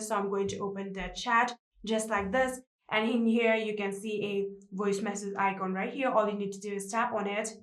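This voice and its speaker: A woman's voice: medium pitch, reverberant. A woman narrating a step-by-step how-to tutorial as its presenter.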